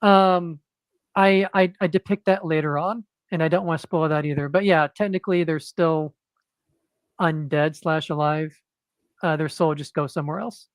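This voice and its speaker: A man speaking as a guest comic book creator in a casual interview, monotone and hesitant, with many fillers and restarts. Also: a little high, normal speed, clean tone, no echo.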